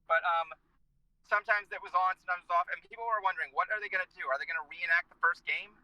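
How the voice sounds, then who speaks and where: A man's voice: high-pitched, steady tone, racing, pushed and bright, rough recording. A man hosting a casual talk show from inside his car, joking and rambling with a groggy voice after a day of work. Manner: joking, chatty, self-interrupting.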